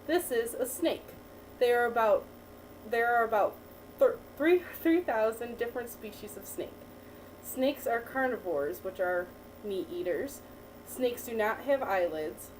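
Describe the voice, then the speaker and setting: Patient teacher reading to a child. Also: female voice, fairly high pitch, expressive, unhurried, a little mellow. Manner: patient, encouraging, asks and praises. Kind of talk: reading aloud to a child, with quiz questions. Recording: distant mic with faint background noise.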